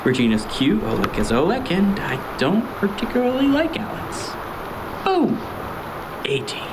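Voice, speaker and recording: Guy acting mouthy teen dude, sarcastic n cocky, super lively delivery, loud sfx noise behind, audiobook. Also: high-pitched, a little slow, full character voice. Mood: cocky, teasing. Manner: mouthy, theatrical complaining and joking. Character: cocky, sarcastic eighteen-year-old male crew member. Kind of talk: dramatised audiobook dialogue. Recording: loud ambient sound effects behind.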